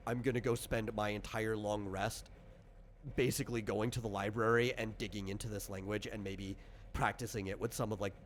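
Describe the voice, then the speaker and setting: Low-voiced man explaining game rules, background noise. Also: little pitch movement, a little fast. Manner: informal, explanatory, illustrates with hypothetical examples. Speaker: game designer and game master.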